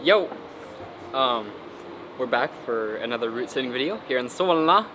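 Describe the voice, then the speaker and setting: A man's voice: medium pitch, lively intonation, moderate pace, background noise. A man setting a climbing route, talking casually while he works and fighting off a cold, thinking aloud with plenty of ums and likes. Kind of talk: casual vlog narration while working. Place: indoor climbing gym.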